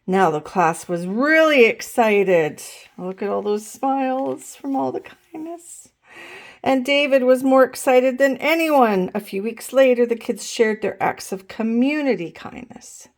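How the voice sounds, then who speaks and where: A woman reading a children's picture book aloud in an expressive, deliberate way, as if to young listeners. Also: a little high. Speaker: storytime reader.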